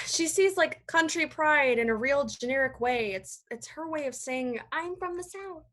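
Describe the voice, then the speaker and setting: A woman playing a guarded, conflicted young college student in a staged reading, halting and sighing as the character deflects. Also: high-pitched, lively intonation, a bit bright. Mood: hesitant, explaining. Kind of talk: live staged reading of a play over video call. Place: online video call staged reading.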